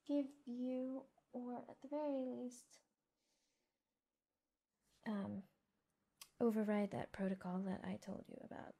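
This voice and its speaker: A woman playing a friendly, gently teasing mecha mechanic in an ASMR roleplay, soft-spoken and often whispering, murmuring reassurance as she works. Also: a little high, exaggerated voice. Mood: playful, reassuring. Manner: unhurried, murmuring reassurance while busy working.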